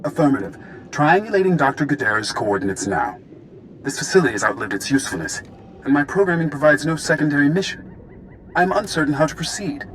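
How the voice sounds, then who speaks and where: A man's voice: a little high, animated, fast, fairly bright tone, a little hiss or hum, poor audio. A man voicing a formal, disdainful military droid in a video game, with stilted robotic phrasing. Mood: uncertain. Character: disdainful, literal-minded military droid, loyal to its maker.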